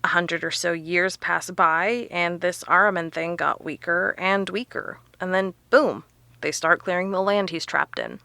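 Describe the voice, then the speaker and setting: An actress playing a sharp, confident woman researcher who explains things emphatically in an audio drama. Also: fairly low pitch, a little slow, vocal fry, no room sound, exaggerated voice. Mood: explaining, intent.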